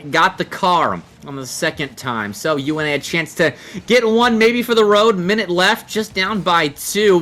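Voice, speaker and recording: A man's voice: fairly high pitch, sharp tone. A man giving live analytical commentary on a video game match, explaining play in long sentences. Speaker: esports commentator, analyst caster.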